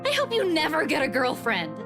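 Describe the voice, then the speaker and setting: High-pitched, exasperated young woman. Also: huge pitch swings, a little fast. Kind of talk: visual novel game voice acting. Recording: loud music behind the voice.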